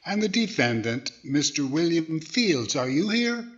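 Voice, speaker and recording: A man playing a patient, gently chiding straight man with a polite, probing manner, in 1940s radio comedy style. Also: a little high, big pitch swings, measured pace, lo-fi sound. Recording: noticeable background noise.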